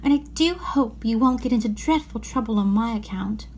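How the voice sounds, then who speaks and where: A kind, earnest little girl, acted by a woman reading a storybook, with a very high voice. She is polite and pleads sincerely. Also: expressive, moderate pace, a little mellow, a little hiss or hum.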